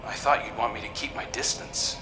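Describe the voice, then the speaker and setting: A man playing a self-doubting, haunted character in a video game, speaking in a halting, subdued way. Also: noisy background, close to an everyday voice. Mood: hesitant, reflective. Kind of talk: dramatic game dialogue. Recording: roomy echo, music behind.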